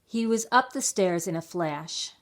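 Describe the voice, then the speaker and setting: A woman's voice: lowish voice, expressive, measured pace. A woman reading a picture book for a library storytime, explaining and asking questions as if to young children.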